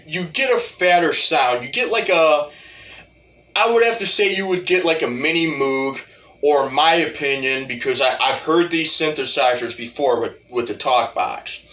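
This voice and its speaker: A man giving a casual, rambling demo of music gear, halting and repeating his phrases as he goes. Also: fairly high pitch, fairly bright tone. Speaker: hobbyist musician reviewing talk box gear.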